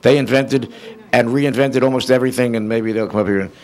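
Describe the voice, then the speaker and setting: A man speaks at a middle pitch as a panel host. He introduces and questions his guests casually in front of a live audience. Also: fairly even intonation, a little fast, a little mellow. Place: convention hall stage before an audience.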